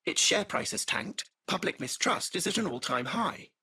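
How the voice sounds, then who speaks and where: An actor playing an urbane, chatty AI taxi driver in a video game, with a British accent and a polished, butler-like manner. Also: male voice, sits high, animated, normal speed, sharp tone, husky, exaggerated voice. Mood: gossipy, brisk.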